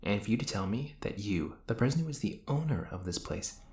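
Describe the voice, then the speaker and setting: A man's voice: on the low side, expressive, fairly quick, darkish tone, echoey room. A man playing an exasperated, sarcastic young hero who banters and teases his frenemy in an audio roleplay. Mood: exasperated ranting. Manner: ranting, sarcastic, teasing. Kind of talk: scripted audio roleplay acting addressed to the listener.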